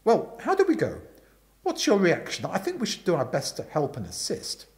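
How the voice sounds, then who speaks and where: A man voicing an amiable, chatty young upper-class gentleman in a storybook reading, earnest and rambling. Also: high-pitched, wildly swooping pitch, moderate pace.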